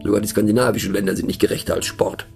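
A man's voice: on the low side, fairly even intonation, racing, creaky, darkish tone, soft background music. An actor playing a middle-aged male narrator in a radio play monologue, musing and mocking, thoughtful and hesitant, often turning to question the listener. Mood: mock-earnest. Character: musing, mocking male narrator, rambling to fellow train passengers.

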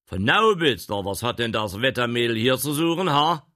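Guy doing a big gruff bossy old grain trader, loud and pushy, barking orders, dry close sound. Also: mid-range voice, lively intonation, takes it slowly, dry recording, pitched above the performer's own voice. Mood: blustering. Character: domineering older male grain dealer, booming and gruff.

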